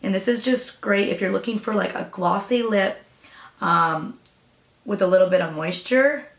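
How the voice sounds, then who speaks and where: A young woman with a raspy voice, chatting casually through a makeup tutorial as a new beauty vlogger. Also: mid-range voice, moderate pace, roomy sound. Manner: chatty, hesitant, with fillers and apologies.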